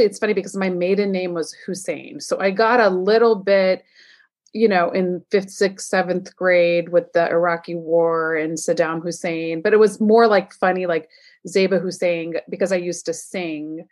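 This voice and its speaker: A woman in her forties with a fairly low voice talks in a relaxed, agreeable way. She recalls her own experiences plainly, sounding like she is in an easy back-and-forth of an interview. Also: normal speed. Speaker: podcast host, guest. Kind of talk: casual interview conversation.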